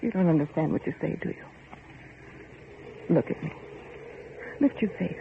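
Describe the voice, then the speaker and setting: An actor playing an idealistic, insistent factory heir in an old radio horror drama, with an earnest, pleading and commanding manner. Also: male voice, a little high, normal speed, creaky. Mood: earnest coaxing. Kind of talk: 1940s radio horror acting. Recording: muffled old radio recording, faint hiss.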